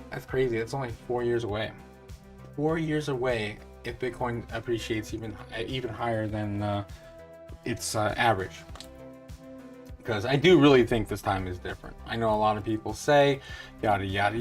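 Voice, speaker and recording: A man in his thirties with a mid-range voice talks loosely and confidently. He rambles and restarts his sentences often. Soft music plays faintly behind him. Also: mid-range voice. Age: 30s. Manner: rambling, with many fillers and restarts; upbeat and certain in his views. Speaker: crypto channel host. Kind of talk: casual talk over a retirement calculator.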